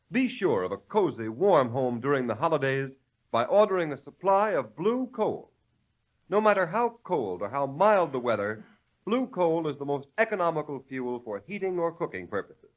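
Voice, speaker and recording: A man announcing in 1930s radio style, polished and emphatic as he delivers a sponsor's pitch. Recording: dull lo-fi vintage radio recording.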